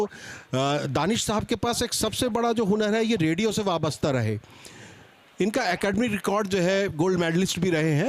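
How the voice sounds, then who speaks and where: Man, fairly high pitch, panel moderator, unscripted introductions, conversational, pausing and restarting. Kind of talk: extempore seminar introductions. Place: public seminar at a book event.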